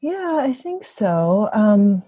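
A woman's voice: medium pitch, big pitch swings, takes it slowly, slightly rough, dry recording, dull audio. A woman giving thoughtful, reflective answers in a conversational interview, as an activist and organizer. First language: bilingual, also speaks Mandarin.

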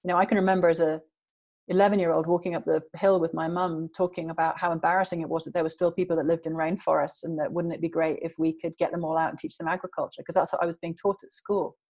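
A woman's voice: low voice, little pitch movement, quick pace, darkish tone. Woman, interview guest, earnest, emphatic, long flowing sentences. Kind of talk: passionate conversational interview.

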